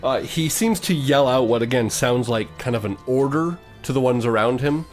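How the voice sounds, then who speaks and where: A man's voice: mid-range voice, moderate pace. A man acting as game master, narrating combat in a tabletop game in a matter-of-fact, slightly hesitant way. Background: music.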